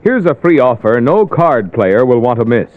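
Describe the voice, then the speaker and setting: A man's voice: medium pitch, big pitch swings, moderate pace, soft background music. A man announcing a commercial in polished 1950s radio style, selling hard. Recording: muffled old radio recording.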